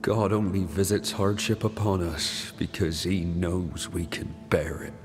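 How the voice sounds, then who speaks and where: A solemn ghost of a devout settler in a video game drama. The voice is very low and unhurried, grave and resigned, with music behind it. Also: male voice, fairly even intonation. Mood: grave, pious.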